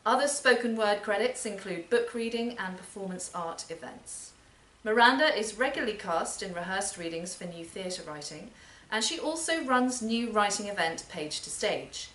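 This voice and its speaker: A woman's voice: mid-range voice, a bit bright. Roomy, distant-miked woman introducing a reader. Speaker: event host introducing readers. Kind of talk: prepared spoken introduction at a live literary event. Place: performance space in a library centre. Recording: roomy, distant mic, background noise.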